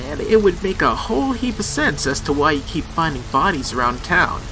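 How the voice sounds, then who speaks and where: An actor playing a gruff, sardonic, foul-mouthed small-town police chief in a radio drama, confrontational and folksy. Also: male voice, fairly high pitch, very animated, brisk, over music, poor audio.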